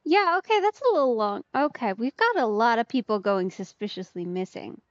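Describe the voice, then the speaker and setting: A woman's voice: a little high, expressive, fairly quick, dry recording, highs cut off. A woman giving casual, chatty let's play commentary, reacting aloud as a game streamer.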